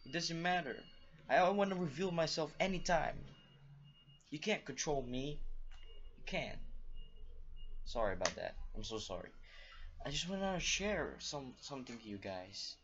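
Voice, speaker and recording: A young man with a Filipino accent, talking casually to camera in a small room, halting and rambling with lots of fillers. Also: on the high side, lively intonation, a bit bright, dull audio. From Philippines. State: feeling unwell, voice off. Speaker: vlogger.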